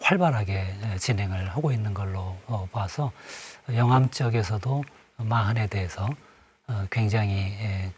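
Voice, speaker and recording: A middle-aged man speaking as a guest on a studio panel, pausing often and filling gaps with short fillers. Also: on the low side, slight background noise. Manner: hesitant, many pauses and fillers. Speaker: panel guest, former museum director.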